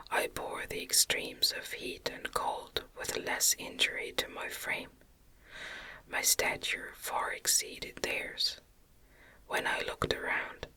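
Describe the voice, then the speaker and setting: A woman whispering a gothic novel as an ASMR reading, gently and deliberately, with soft articulation. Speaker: ASMR reader.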